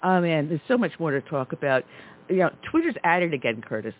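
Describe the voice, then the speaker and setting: A woman's voice: sits low, very animated, fast talker. A woman hosting a talk radio show, speaking emphatically and with indignation. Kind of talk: conservative talk radio chat. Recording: tinny phone line.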